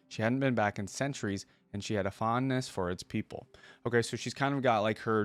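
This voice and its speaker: A man hosting a reaction video, reading lore aloud and adding casual, rambling commentary as he thinks out loud. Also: fairly low pitch, no room sound.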